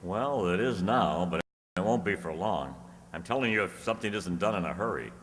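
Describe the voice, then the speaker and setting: A man playing a confident, urgent young newspaper reporter in old-time radio style, brisk and insistent. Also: sits low, expressive, fairly bright tone, in a large hall. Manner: brisk, insistent, clipped commands.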